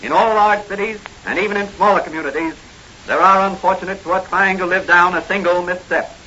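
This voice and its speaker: A man's voice: sits high, brisk, sharp tone, a little hiss or hum. A man narrating a 1940s radio serial as a grand, declamatory announcer. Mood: grave, explanatory. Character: dramatic announcer of a crime-fighter serial. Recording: dull vintage radio recording. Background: music.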